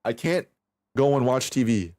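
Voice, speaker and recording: A man's voice: mid-range voice, expressive, moderate pace, mellow, slight background noise. A man in his twenties streaming late at night, rambling casually and profanely about practice, pressure and backup plans. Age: 20s. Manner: rambling, profane, self-reflective, restarts a lot. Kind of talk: casual stream chat while aim training.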